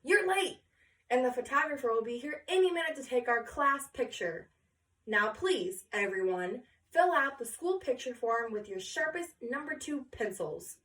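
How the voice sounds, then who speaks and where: Bossy, bustling schoolteacher, acted by a woman reader, high and brisk. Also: lively intonation, a bit bright, distant mic. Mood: brisk, scolding. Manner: brisk, commanding, sing-song.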